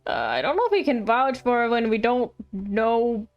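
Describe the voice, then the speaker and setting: Girl streamer, high voice, casual playful reactions, faint music behind. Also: moderate pace, dull audio. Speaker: livestreamer. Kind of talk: casual game reaction commentary.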